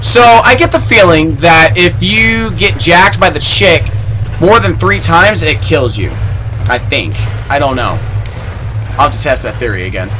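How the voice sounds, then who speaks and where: A young man streaming a horror game, swearing and shouting in panic, talking into a headset in a small room. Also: a little high, animated, measured pace, slight background noise, poor audio. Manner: swears a lot, yells in panic. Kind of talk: live horror game commentary.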